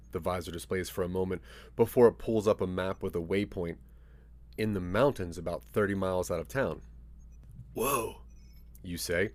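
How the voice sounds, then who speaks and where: A man narrating a scripted second-person audio drama as a measured, dramatic storyteller. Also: on the low side, a little mellow.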